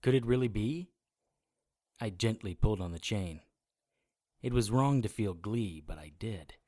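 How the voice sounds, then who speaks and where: A man playing a supernatural detective who narrates his grim adventure in measured, hardboiled audio drama style. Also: lowish voice, expressive, warm tone, dry recording, clean studio sound. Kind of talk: first-person scripted audio drama narration.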